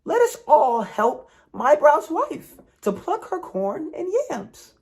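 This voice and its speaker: A mischievous storybook fairy of the bush, voiced by a man, pitched high and lively. The calls ring out eagerly, with melody that swings widely. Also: slow, vocal fry, heavily acted. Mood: gleeful. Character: cheerful, mischievous bush fairies calling out.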